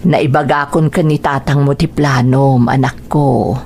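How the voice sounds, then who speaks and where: A woman plays a caring village mother in an audio drama. Her voice is low, with a Filipino accent and gentle, respectful delivery, over faint background noise. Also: steady tone, unhurried. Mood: gentle, concerned. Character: caring grown daughter and mother of a village family.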